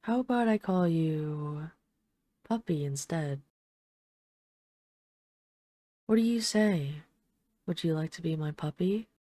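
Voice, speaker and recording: Low, mellow flirtatious vampire lady played by a woman. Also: expressive, dry recording, clean studio sound. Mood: seductive, coaxing. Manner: teasing, coaxing, deliberate with lingering pauses. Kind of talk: intimate audio roleplay addressed to the listener.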